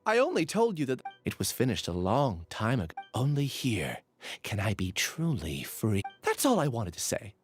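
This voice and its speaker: An actor playing a troubled misfit researcher in a video game, guarded yet earnest in manner. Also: male voice, mid-range voice, huge pitch swings, moderate pace, faint music behind. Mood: confessing.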